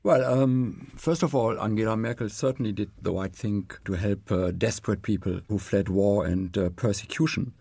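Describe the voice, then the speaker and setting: A man who is a professor of economics, speaking as a panel guest in a measured way with frequent filler pauses. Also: lowish voice, vocal fry, warm tone, breathy edge, no room sound.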